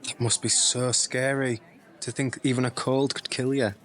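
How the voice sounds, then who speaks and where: A male actor plays a young man, the nephew in an audio drama, with a mid-pitched voice. He sounds curious and sympathetic, asking questions with gentle concern. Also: level tone, moderate pace, lightly acted. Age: young adult. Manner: inquisitive, sympathetic. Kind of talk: scripted audio drama dialogue.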